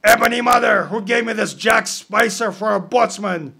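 Man playing blustering pirate captain, yells, high and loud. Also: moderate pace, gravelly, heavily acted. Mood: exasperated. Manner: shouting, exasperated.

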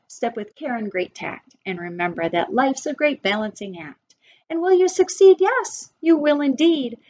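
A middle-aged woman reading a children's picture book aloud expressively, with deliberate phrasing for young listeners. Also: on the high side, slight background noise. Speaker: read-aloud storyteller for children. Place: wood-panelled room indoors. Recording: slightly dull webcam sound.

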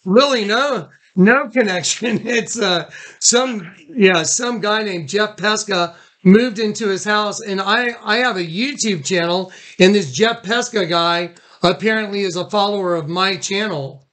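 A man's voice: high voice, measured pace, fairly bright tone. An American man on a recorded phone call, talking in an urgent, insistent way and repeating himself as he goes. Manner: agitated, insistent, repetitive. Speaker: caller, YouTube channel owner.

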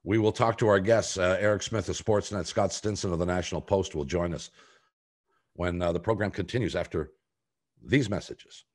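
An older man with a deep, low voice hosts a sports podcast. He speaks deliberately and haltingly, leaving pointed pauses as he lays out his opinions. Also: breathy edge. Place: home room on a video call.

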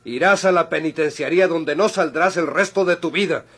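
An actor playing a stern, accusing Mexican police chief in an old radio drama, with a husky voice. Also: male voice, fairly high pitch, brisk, a bit bright. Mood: accusing, indignant. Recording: vintage radio drama recording.

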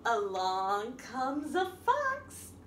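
A woman with a very high, swooping voice reads a picture book to young children. She takes her time, in the sing-song manner of a library storytime host. The room around her is echoey. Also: wildly swooping pitch, very slow, a bit bright. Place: indoor room at a wooden table by a window. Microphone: camera across the table. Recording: echoey room with background noise.

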